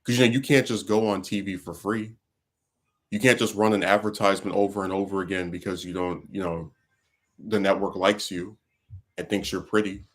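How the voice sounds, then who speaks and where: A man's voice: low voice, steady tone, brisk. A man hosting a casual talk stream, venting about politics in a rambling way, full of fillers and restarts. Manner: frustrated, venting. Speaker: livestream host.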